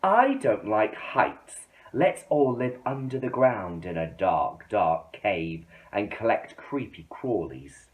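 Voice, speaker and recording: Cautious female cave-loving storybook creature, acted by a man, deliberate delivery with wide swings of pitch. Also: sing-song, recorded from a distance, phone-quality sound. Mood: reluctant, eager. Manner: sighing, deliberate. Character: cautious female animal fond of dark caves.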